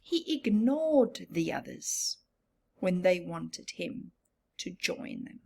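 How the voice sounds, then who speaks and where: A woman reading a story aloud to children as a teacher would, expressive and deliberate. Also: fairly low pitch, creaky, slightly rough. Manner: expressive, deliberate, questioning the listeners.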